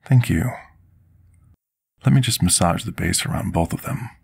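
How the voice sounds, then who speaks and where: Male actor as humble apothecary servant, fantasy ASMR: deep, creaky voice, courteous, hesitant, devoted. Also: normal speed, a little mellow, full character voice. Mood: soothing, tender. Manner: formal, courteous, deferential, hesitant. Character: devoted male potion master, deferential servant to a dragon. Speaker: ASMR roleplay performer. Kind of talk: intimate fantasy ASMR roleplay.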